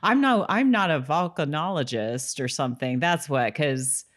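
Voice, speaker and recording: A woman's voice: sits low, animated, moderate pace. A woman co-hosting a podcast, chatting casually about a TV show. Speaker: podcast co-host. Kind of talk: casual chat about a TV episode.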